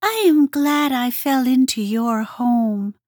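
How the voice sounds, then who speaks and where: A timid little hedgehog girl on the edge of tears, as a woman puts her on. The voice jumps up high and thin, stammering and slow. Close and dry. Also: a little mellow, no room sound. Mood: tearful. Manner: tearful, stammering. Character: small sad young female hedgehog, timid. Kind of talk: storybook read-aloud.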